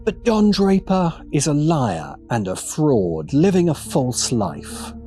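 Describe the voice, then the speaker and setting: A man's voice: a little high, huge pitch swings, slow, warm tone, soft background music. A man narrating a scripted video essay as its presenter.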